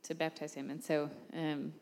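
A woman's voice: low voice, level tone, normal speed, darkish tone. A woman hosting church testimonies, introducing speakers and praying, with many filler ums. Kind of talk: introductions and prayer.

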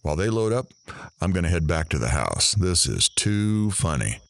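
Casting: actor as low-voiced, sneaky male host-observer for audio drama, animated, amused and off-the-cuff. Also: normal speed, slight background noise, full character voice. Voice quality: deep, gravelly. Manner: casual, amused, confiding. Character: amused, conspiratorial male host secretly watching the characters. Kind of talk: audio drama narration addressed to listeners.

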